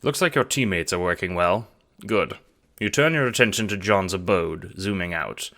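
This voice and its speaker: A man narrating an audiobook-style drama in the second person with an expressive storytelling delivery. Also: deep voice, moderate pace, noisy background.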